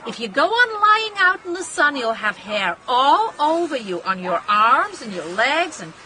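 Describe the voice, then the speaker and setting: A high, bright voice playing a middle-aged American wife in a radio drama. She swoops up and down as she gushes and chatters, bossily fishing for agreement. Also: very animated, moderate pace, heavily acted, poor audio. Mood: chatty, fussy. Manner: gushing, garrulous, seeks constant agreement.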